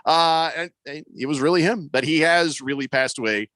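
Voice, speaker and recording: Sports radio host guy, bright sharp voice, dry studio sound, tells stories and reads out comments. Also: a little high, normal speed, no echo. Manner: storytelling, reads listener comments aloud. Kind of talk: casual talk show banter.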